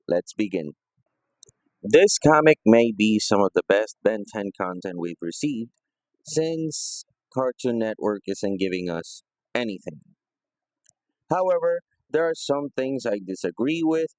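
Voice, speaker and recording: A man's voice: mid-range voice, darkish tone, no room sound. A man hosting a review video, narrating a scripted video essay. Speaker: host of a comics review video.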